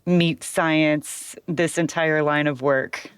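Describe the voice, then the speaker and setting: A woman's voice: sits low, steady tone, slow pace. A woman hosting a city podcast, asking brief, friendly interview questions. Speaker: podcast host.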